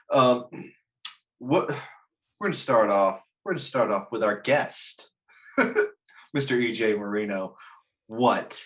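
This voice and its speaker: A man hosting a casual chat show, talking in a halting, joking way with the odd mild swear. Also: fairly low pitch, a bit bright. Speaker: host.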